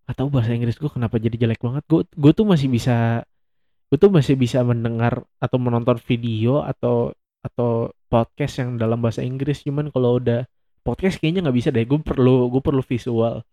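A man's voice: mid-range voice, steady tone, a little fast, mellow, no echo. A young man hosting a solo podcast, chatting casually in a rambling, self-mocking way and laughing often. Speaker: podcast host.

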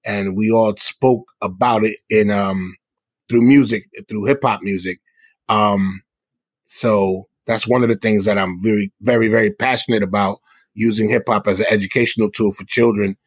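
A man's voice: fairly low pitch, fairly even intonation, normal speed, dry recording. A middle-aged man from the South Bronx, answering interview questions in an earnest, explanatory, conversational way. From South Bronx, New York City. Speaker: hip hop musician and guest.

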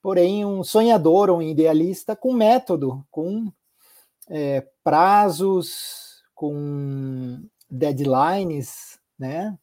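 A man's voice: on the high side, very slow, dark tone. A man with a southern Brazilian accent from Curitiba, speaking reflectively as an interview guest and repeating words for emphasis. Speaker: podcast guest, healthcare executive.

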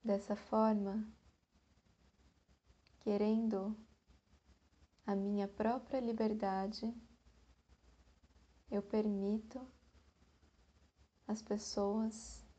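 Very slow, soft-toned woman guiding meditation. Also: medium pitch, level tone, husky, lo-fi sound. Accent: Brazilian Portuguese. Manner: slow, gentle delivery with long pauses. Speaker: meditation guide. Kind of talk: guided meditation.

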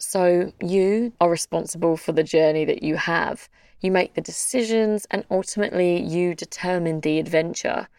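A woman's voice: lowish voice, creaky, darkish tone, no echo, far from the mic. A woman hosting an interview show, reading scripted narration.

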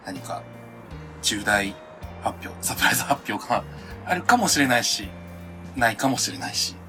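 A man's voice: lowish voice, sing-song, moderate pace, pushed and bright. A man speaks as a co-host in a casual chat among friends. His intonation moves around a great deal and he chimes in agreeably. Music plays faintly underneath. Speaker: podcast co-host.

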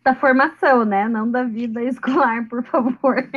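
A woman in her late thirties is chatting on a podcast, her voice sitting fairly high. She jokes at her own expense and laughs easily. The recording is dull and lo-fi. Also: steady tone, normal speed. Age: 30s. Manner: chatty, self-deprecating, laughs often. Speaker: podcast guest. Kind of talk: casual interview.

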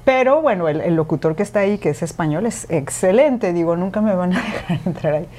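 Woman, lower register, candid and animated interview guest. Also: brisk. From Mexico. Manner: candid, animated, many fillers. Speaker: guest, podcast host and former radio announcer. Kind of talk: conversational interview.

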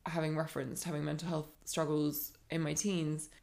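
Podcast guest, woman in her thirties, life coach: earnest and reflective, with a husky vocal fry in a low voice. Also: normal speed. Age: 30s. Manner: earnest, reflective, animated when building a point. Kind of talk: candid personal storytelling.

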